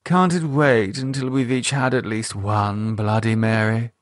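A man's voice: lowish voice, steady tone, unhurried, no echo, studio quality, natural voice. A man voicing a languid, refined upper-class woman in an audiobook. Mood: weary. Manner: drawling, world-weary. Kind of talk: audiobook character voice.

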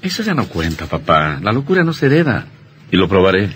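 An actor playing an earnest young doctor, a devoted and reassuring husband, in a melodramatic radio drama. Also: male voice, low-pitched, wildly swooping pitch, brisk, a bit bright, slight background noise, heavily acted. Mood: firm, defensive. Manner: earnest, affectionate.

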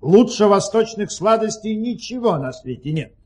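High-voiced, husky, imperious sultan. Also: male voice, expressive, normal speed, a little mellow. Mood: indignant. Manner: pompous, theatrical, emphatic. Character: imperious, touchy Turkish sultan, grand and menacing. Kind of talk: audio play acting.

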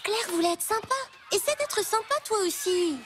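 A woman's voice: very high-pitched, lively intonation, moderate pace, slight background noise. A woman voicing an earnest, sensible girl of about ten in a French children's cartoon. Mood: earnest reproach. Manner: earnest, gently reproachful. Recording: loud music behind the voice.

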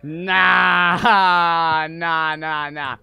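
A young man streaming a horror game, panicking, swearing and yelling in fright while reading notes aloud. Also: fairly high pitch, steady tone, drawn-out words, vocal fry, clean tone. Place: small room at home. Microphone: desk mic on stand.

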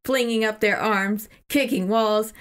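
A woman's voice: medium pitch, fairly even intonation, unhurried, clean tone. Woman podcast host retelling a book, super casual, giggly, bit sweary. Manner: giggly, informal, lightly sweary. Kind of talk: casual book discussion with retelling and read-out passages.

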